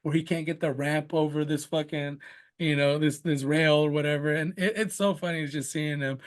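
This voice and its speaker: A man's voice: a little high, steady tone, normal speed. A young man, a native Spanish speaker and father, chatting casually about his young son in a rambling way full of "you know" and "like". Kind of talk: casual conversational interview.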